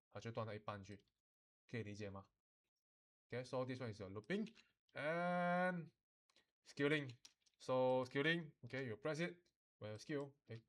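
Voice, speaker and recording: Guy teaching software casually, instructional, keeps checking you get it, drops in lah. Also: mid-range voice. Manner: instructional, often checks understanding, uses particles like lah. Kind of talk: informal software tutorial mixing English and Mandarin.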